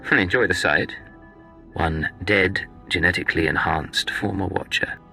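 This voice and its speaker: A man's voice: low voice, slow, over music. A man playing a tough, defiant secret agent in a video game, speaking in a terse, cool manner. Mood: cold satisfaction. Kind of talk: video game cutscene acting.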